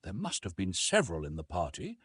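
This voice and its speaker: A man voicing an earnest, soft-hearted young woman in an audiobook, with a hushed, pleading, formal delivery. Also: deep voice, huge pitch swings, a little fast, slightly rough, no echo, studio quality, exaggerated voice. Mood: thoughtful. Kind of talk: dramatised audiobook reading.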